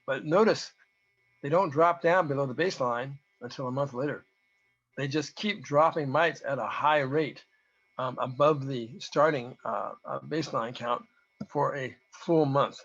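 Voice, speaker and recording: A man with a hoarse voice gives an informal, halting technical presentation, explaining data as a researcher. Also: mid-range voice, a bit bright. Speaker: presenter, researcher giving a talk to beekeepers. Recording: dull, lo-fi audio.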